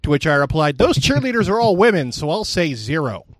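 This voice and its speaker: A man's voice: a little high, sing-song, brisk, no room sound, studio quality. A man co-hosting a podcast, telling jokey anecdotes casually and acting out the quotes as he goes. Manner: wisecracking, acts out quotes. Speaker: podcast co-host.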